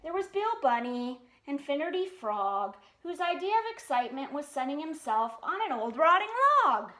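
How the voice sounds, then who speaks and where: A woman reading a children's picture book aloud in an expressive, sing-song way, pausing for chatty questions to young listeners. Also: high voice, reverberant. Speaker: storytime reader.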